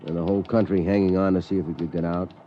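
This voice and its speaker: An actor playing a gruff, jaded newspaper night editor, rambling offhandedly in a 1950s radio drama. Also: male voice, sits low, flat delivery, rapid-fire, soft background music, lightly acted. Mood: reminiscing. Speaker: news editor. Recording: dull, noisy 1950s radio recording.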